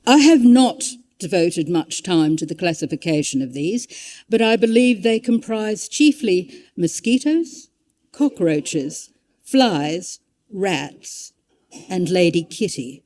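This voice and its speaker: Mellow-voiced woman giving a talk. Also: on the low side, lively intonation, slow. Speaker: public lecturer. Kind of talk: informal illustrated history talk with read-out quotations.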